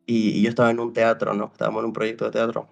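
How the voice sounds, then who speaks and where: A man's voice: lowish voice, monotone, racing, gravelly, faint music behind. A young man from Paraguay in casual conversation, telling a long story that wanders, with many restarts and "o sea", and acting out bits of dialogue. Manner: rambling, full of restarts and "o sea", re-enacts dialogue. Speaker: interview guest.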